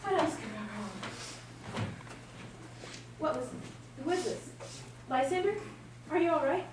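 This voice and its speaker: A woman's voice: high-pitched, lively intonation, slow pace. A woman playing an insecure, lovelorn young woman in a stage play, emotional and pleading, recorded from far off in an echoey hall. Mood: self-pitying. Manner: emotional, pleading, self-pitying. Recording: echoey hall, distant mic, noisy.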